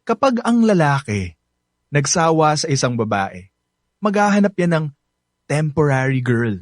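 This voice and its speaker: Cocky Filipino playboy, animated pitch. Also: male voice, unhurried, no echo, clean studio sound. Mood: smug, argumentative. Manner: boastful, teasing, code-switches into English. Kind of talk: Filipino radio drama acting.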